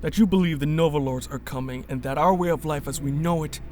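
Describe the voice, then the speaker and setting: A man's voice: on the high side, quick pace, a little mellow, slight background noise, lightly acted. A man acting a guarded, stubborn rebel in a sci-fi radio drama, earnest and formal in his speech. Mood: grave, subdued.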